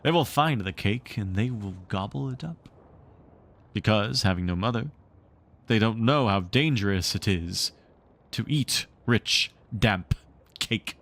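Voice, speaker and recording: Man acting a slow, gloating pirate villain. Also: fairly low pitch, animated, a bit bright. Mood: scheming menace. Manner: slow, drawn-out speech through clenched teeth.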